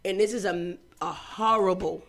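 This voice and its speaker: A woman's voice: mid-range voice, moderate pace, a bit bright. A woman from Boston with a Boston accent, co-hosting morning radio, blunt, passionate and colloquial. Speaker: radio co-host. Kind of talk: morning radio talk show chat.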